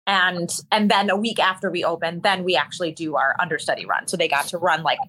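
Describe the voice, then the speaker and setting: A woman with a slightly low, bright and forceful voice tells stories as a guest in an interview. She is candid and expressive, with a bit of wry exaggeration in a loose conversational style. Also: quick pace, slightly rough, rough recording. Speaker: interview guest, musical theater performer.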